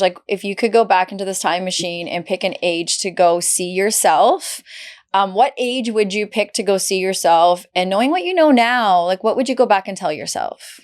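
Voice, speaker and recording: A woman hosting a podcast interview, asking questions in a gentle, encouraging way. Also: mid-range voice, clean tone. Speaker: podcast host. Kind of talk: warm conversational interviewing.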